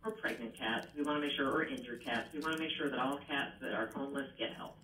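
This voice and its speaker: Canadian lady being interviewed on radio, bright voice, earnest, far off in an echoey room. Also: very deep voice, quick pace. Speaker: animal rescue founder, radio guest. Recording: distant, echoey, noisy radio playback in room.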